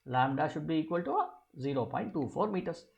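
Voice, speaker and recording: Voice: mid-range voice, animated, brisk, gravelly, a little mellow, dull audio. A physics teacher walking through an exam problem step by step, asking and answering their own questions and repeating key phrases.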